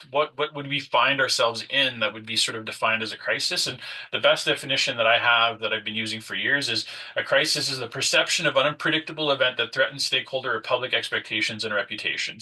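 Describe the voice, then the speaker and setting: A man's voice: mid-range voice, sharp tone, breathy edge, distant mic, low-quality recording. A man whose first language is French, presenting a nonprofit workshop in a conversational way, with frequent fillers and restarts. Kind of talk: online webinar presentation.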